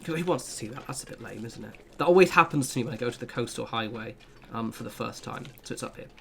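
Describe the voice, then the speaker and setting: A young man walks through a game route in a loose, chatty way, drifting into self-deprecating side remarks. His voice sits at an ordinary middle pitch, with a faint hiss under it. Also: steady tone, quick pace, gravelly. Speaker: gaming channel host. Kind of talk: casual gameplay guide commentary.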